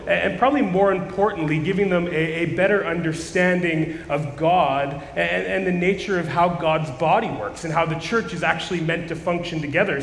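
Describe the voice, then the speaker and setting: A man preaching a sermon as pastor, slightly hoarse from losing his voice, in a casual, conversational way with frequent restarts. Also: sits high, echoey room, in a large hall, a little hiss or hum, rough recording. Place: church sanctuary.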